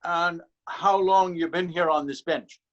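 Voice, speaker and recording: A suspicious, self-important policeman played by a male actor in a readers theater play. He questions deliberately, on a slightly dull recording. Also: fairly high pitch, fairly even intonation, fairly bright tone. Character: officious, suspicious Dublin policeman. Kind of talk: readers theater stage acting.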